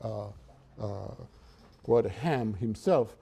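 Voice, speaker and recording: Older Cuban-accented lecturer, halting delivery. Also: male voice, on the low side, creaky, dark tone, slightly rough. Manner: halting, many fillers and restarts. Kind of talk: university lecture. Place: lecture hall in front of a chalkboard.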